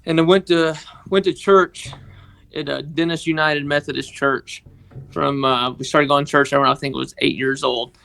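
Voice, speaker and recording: A man with a Mississippi accent, telling a personal story on a podcast in a halting, earnest way. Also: on the high side, steady tone, gravelly, bright, forceful tone. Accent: Southern US, Mississippi. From northeast Mississippi, United States. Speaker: podcast guest, organization member telling his story.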